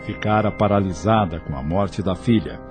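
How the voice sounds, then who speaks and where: A man's voice: low-pitched, moderate pace. A man narrating a scripted audio novel as a storyteller. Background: instrumental music.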